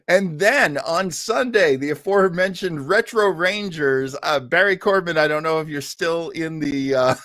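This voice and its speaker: A man's voice: mid-range voice, fairly bright tone, no echo, studio quality. A man hosting a casual live online show, chatting in a rambling, jokey, emphatic way as he makes announcements.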